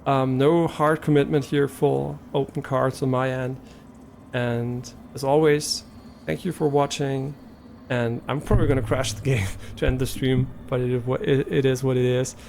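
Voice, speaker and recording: A man's voice: medium pitch, fairly even intonation, unhurried, gravelly, darkish tone, slight background noise. A man from Germany, a native German speaker with a German accent, chatting casually and a bit hesitantly to viewers as a live streamer, thinking aloud as he goes.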